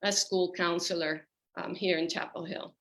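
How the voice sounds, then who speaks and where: A woman telling her own story reflectively as a panel guest, in thoughtful, halting phrases. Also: fairly low pitch, fairly even intonation, fairly bright tone, low-quality recording. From Puerto Rico. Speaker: panel guest, school counselor.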